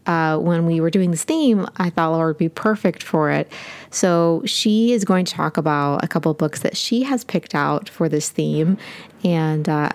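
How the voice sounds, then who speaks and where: A woman with a slightly low voice talks about books in an easy, chatty way. She is enthusiastic and scatters fillers through her sentences as a podcast co-host. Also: darkish tone. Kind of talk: casual book chat.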